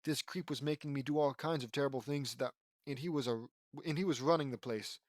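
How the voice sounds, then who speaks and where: A man's voice: mid-range voice, fairly quick, dry recording, clean studio sound, close to an everyday voice. A man voicing an earnest, wronged young man in a story, sincere and emphatic. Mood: indignant.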